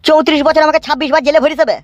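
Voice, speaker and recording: A woman's voice: sits high, steady tone, very fast, no echo, dull audio, close to an everyday voice. A woman voicing an irritable older tea-stall keeper in a comic cartoon, scolding coarsely. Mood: irate, mocking. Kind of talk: comic cartoon dialogue in rural dialect.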